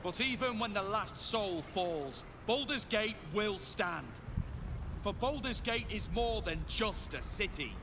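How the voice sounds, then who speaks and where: An actor playing a resolute city commander in a fantasy video game, speaking in a solemn, declamatory, stirring way. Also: male voice, sits high, expressive, takes it slowly, background noise. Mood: solemn, rousing. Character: resolute male city commander rallying weary fighters. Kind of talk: video game cutscene acting, rousing speech.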